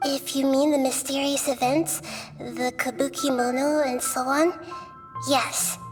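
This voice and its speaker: A woman voicing a gentle, wise young-girl goddess who speaks softly and patiently, in a video game scene. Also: high voice, little pitch movement. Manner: soft, patient, measured. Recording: game music under voice. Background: game background music.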